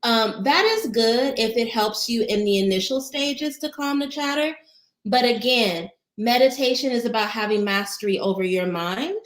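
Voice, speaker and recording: A woman with a soothing voice, a meditation teacher answering chat questions in a casual, gentle, encouraging livestream. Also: on the high side. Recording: roomy echo.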